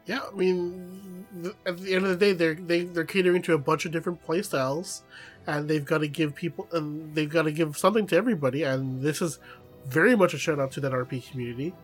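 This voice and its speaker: A man co-hosting a casual gaming podcast, relaxed and hedging as he talks. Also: on the high side, fast talker, slightly rough, soft background music. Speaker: podcast co-host.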